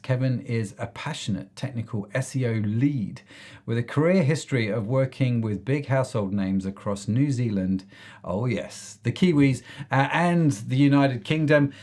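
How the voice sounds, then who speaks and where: A man's voice: mid-range voice, darkish tone. A man hosting a podcast, reading an upbeat intro and then chatting enthusiastically with a guest. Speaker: podcast host. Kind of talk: scripted intro then casual interview chat.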